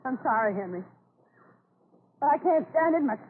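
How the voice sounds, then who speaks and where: A woman's voice: high voice, lively intonation, moderate pace. An actress playing a worn-down, despairing farmer's wife and mother in an old-time radio drama. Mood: weary despair. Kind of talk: 1940s radio drama acting. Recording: scratchy tinny old radio recording.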